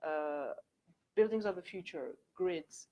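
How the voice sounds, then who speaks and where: A woman's voice: lowish voice, slow pace, mellow, slight background noise. A young adult woman from France with a French accent, giving a candid, informal talk to students, full of "like" and "actually". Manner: chatty, candid, filler-heavy with frequent "like" and "actually". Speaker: workshop speaker. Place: university lecture room.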